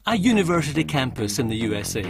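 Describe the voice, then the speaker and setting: A man reading scripted documentary narration in a measured, earnest way. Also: medium pitch, sing-song, gravelly, a crowd in the background. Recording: music bed underneath.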